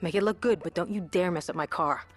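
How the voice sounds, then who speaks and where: Actress as low-voiced, brash street racer woman. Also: fast talker, faint music behind, close to an everyday voice. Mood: scheming, brisk. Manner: assertive, wry, streetwise. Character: tough, brash female street racer and gang schemer. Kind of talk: video game cutscene acting.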